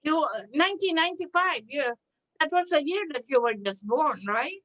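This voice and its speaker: A woman's voice: sits high, animated, moderate pace, a bit bright. A woman interviewer asking curious questions, with some hesitation and fillers. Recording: dull lo-fi sound.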